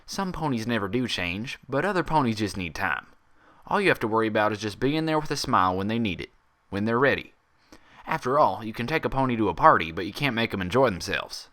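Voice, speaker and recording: A man's voice: on the low side, animated, brisk, a bit bright. A man voicing a kindly female pony doctor with a folksy, soothing, patient manner in a story reading. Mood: reassuring.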